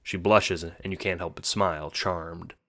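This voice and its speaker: Male narrator for audio drama: very low voice with a sharp, bright edge, scripted storytelling. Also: steady tone, measured pace.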